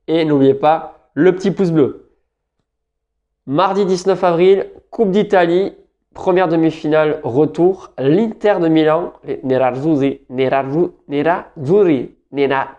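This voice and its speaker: A man's voice: a little high, mellow, muffled recording. A French man giving football betting tips in a casual, rambling talk, often correcting himself. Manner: chatty, rambling, self-correcting. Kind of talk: casual solo talk to camera.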